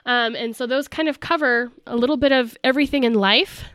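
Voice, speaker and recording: A woman's voice: on the high side, a little fast, a bit bright, clear, resonant tone, no room sound, studio quality. A young adult woman co-hosting a casual podcast, a little nasal from a cold, talking with enthusiasm. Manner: enthusiastic, talks in long lists. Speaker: podcast co-host.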